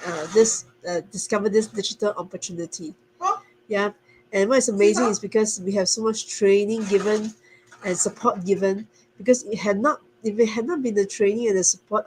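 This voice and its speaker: Singapore-raised mom giving a testimony. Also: female voice, medium pitch, a little mellow, slight background noise, low-quality recording. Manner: earnest, with restarts and self-corrections. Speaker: guest speaker. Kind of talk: casual testimonial on a video call.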